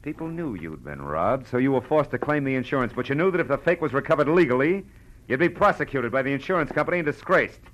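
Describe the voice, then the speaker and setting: An American actor playing a blunt, shrewd, world-weary private detective in a clipped, wry 1940s radio style. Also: male voice, mid-range voice, sing-song, quick pace, fairly bright tone, slight background noise, rough recording. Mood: accusing, pressing. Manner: clipped, tough, wry delivery. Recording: vintage radio recording with faint music.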